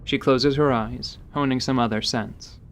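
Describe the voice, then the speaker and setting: A man with a mid-range voice narrates a fantasy audio drama. His delivery is scripted storytelling in the present tense. There is a faint rumble in the background. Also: steady tone, a little slow.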